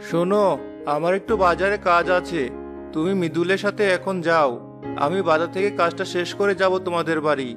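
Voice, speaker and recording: A man's voice: high voice, fairly even intonation, moderate pace, music in the background, natural voice. A man playing an easygoing young husband and dutiful son in a Bengali cartoon. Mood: matter-of-fact.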